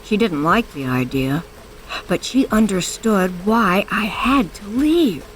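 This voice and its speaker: Woman acting a guarded, slow-spoken stern woman. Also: medium pitch, lively intonation, a little hiss or hum. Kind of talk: audio drama acting.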